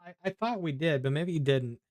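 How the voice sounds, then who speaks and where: A man's voice: a little high, animated, fairly quick, darkish tone. A man casually streaming a video game, rambling half to himself and half to his viewers. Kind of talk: casual live gameplay chat.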